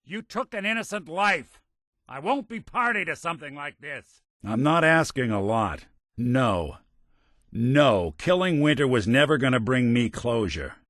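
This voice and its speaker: An actor playing a world-weary, loyal robot private detective in a video game, with wry, understated, old-fashioned hard-boiled noir phrasing. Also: male voice, mid-range voice, sing-song, a little slow, a bit bright, dry recording, studio quality. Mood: stern, disappointed. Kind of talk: video game character voice lines, film noir detective acting.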